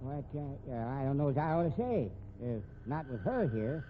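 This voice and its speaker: A man's voice: medium pitch, big pitch swings, normal speed, natural voice. An actor playing an evasive, folksy old night watchman in a 1950s radio mystery, rustic and forever repeating himself. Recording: tinny, noisy old radio recording.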